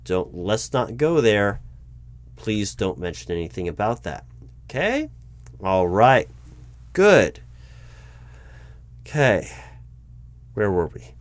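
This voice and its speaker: A man with a fairly low voice conducts a mock job interview in a calm, bureaucratic way. He stays patient but a little exasperated, hesitating with ums and starting sentences over. Keyboard typing is heard behind him. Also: slow pace, pauses often. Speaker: recruiting manager in a roleplay interview. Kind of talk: ASMR-style job interview roleplay.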